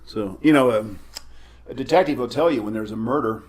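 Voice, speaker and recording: A middle-aged man, a guest professor, explaining history in a measured, storytelling way during casual trivia chat. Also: mid-range voice, a little mellow.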